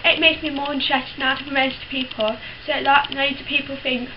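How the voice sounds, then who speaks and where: High-voiced chatty young woman. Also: little pitch movement, normal speed. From United Kingdom. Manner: chatty, frank, many fillers and restarts. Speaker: vlogger. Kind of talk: casual talk to camera. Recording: dull lo-fi sound with clear background hiss.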